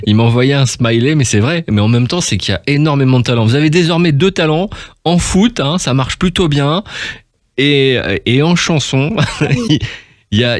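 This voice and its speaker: A man's voice: mid-range voice, no echo, studio quality. A man hosting a casual radio interview in a chatty, effusive, rambling and informal way.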